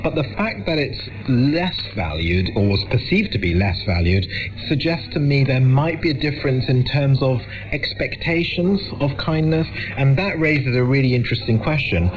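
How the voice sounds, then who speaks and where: A middle-aged man speaking as an expert guest in a radio interview, articulate, measured and explanatory. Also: mid-range voice, lively intonation. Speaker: academic psychologist and expert guest. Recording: dull lo-fi radio recording.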